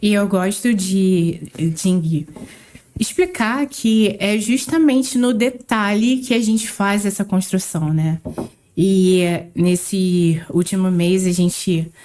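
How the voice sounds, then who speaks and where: A woman with a Rio de Janeiro accent, co-hosting a casual podcast and talking with animated emphasis. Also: medium pitch, slow. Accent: Rio de Janeiro Portuguese. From Rio de Janeiro, Brazil. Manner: animated, emphatic, laughs easily. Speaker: podcast co-host.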